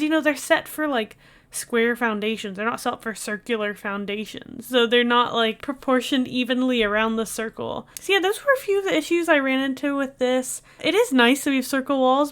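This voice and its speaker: A woman's voice: on the high side, fairly bright tone. A young woman chatting casually over a game speed build, rambling with lots of filler words, her voice still recovering after she lost it. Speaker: video game builder and streamer.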